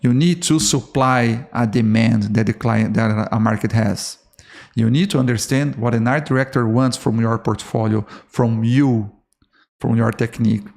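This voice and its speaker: A man with a Brazilian Portuguese accent talks straight to fellow artists on his own podcast. His voice sits at a middle pitch with a gravelly, fry-touched texture. English is his second language, so he restarts words and keeps checking in with "okay?". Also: steady tone, moderate pace, darkish tone. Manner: earnest, repeats and restarts words, frequent "okay?". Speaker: podcast host. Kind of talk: solo podcast talk to artists.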